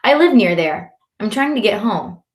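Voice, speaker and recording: A woman's voice: medium pitch, animated, moderate pace, natural voice. A woman voicing an awkward, earnest schoolboy who protests defensively, in an audiobook. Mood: awkward, polite.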